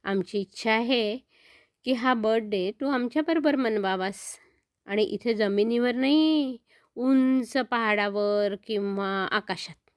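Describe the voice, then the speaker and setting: A woman's voice: a little high, slow, no echo, clean studio sound. A woman voicing a friendly, eager male flying horse who reassures and invites a child in a children's story. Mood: friendly, inviting.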